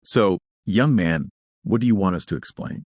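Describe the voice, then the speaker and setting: Man playing a deep grumbling old scientist. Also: expressive, moderate pace, a little mellow, no echo, studio quality. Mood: questioning. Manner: grumbling, skeptical. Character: grumbling elderly male scientist, gaunt, fatherly boss.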